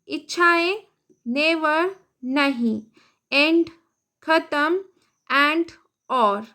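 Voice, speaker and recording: A woman with an Indian accent, reading an English story aloud slowly and translating it word by word into Hindi as a teacher. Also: high-pitched, sharp tone. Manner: reads word by word, translating each.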